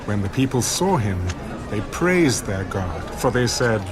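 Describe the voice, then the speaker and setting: A man's voice: on the low side, big pitch swings, a little slow. A man narrating scripture as a scripted, dramatic audio production. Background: music.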